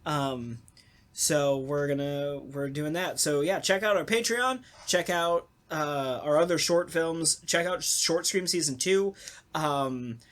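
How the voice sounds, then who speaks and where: A podcast host talking casually in an animated, sarcastic way, with some swearing. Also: mid-range voice, takes it slowly. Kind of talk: casual talkback chat.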